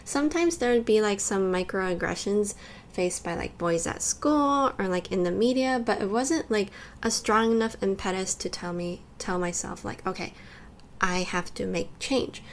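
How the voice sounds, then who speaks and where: A woman's voice: mid-range voice, moderate pace, slight background noise. Young woman co-hosting a podcast. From California and Taiwan. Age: young adult. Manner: conversational, lots of "like" fillers. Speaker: podcast co-host. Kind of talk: casual podcast chat.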